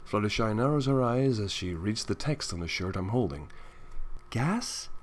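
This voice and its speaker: A man reading a first-person fan fiction story aloud with expressive narration. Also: lowish voice, fairly quick, slight background noise.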